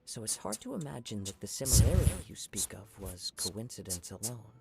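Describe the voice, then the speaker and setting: An actor playing a calm, polite young wandering samurai in a video game, speaking in a formal, measured way. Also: male voice, on the low side, creaky, loud music behind the voice. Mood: thoughtful.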